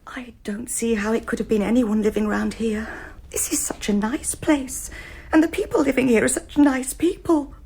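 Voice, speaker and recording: A woman's voice: on the high side, moderate pace, vocal fry, darkish tone, slight background noise. An actress playing a weary, prim, disbelieving middle-aged British mother in a radio drama, plaintive and defensive. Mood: insistent, distressed.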